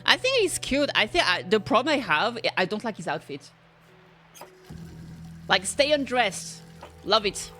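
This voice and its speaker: A woman's voice: a little high, very animated, measured pace, pauses often, pushed and bright, soft background music. A woman streamer chatting casually, rambling and thinking aloud as she reacts to games.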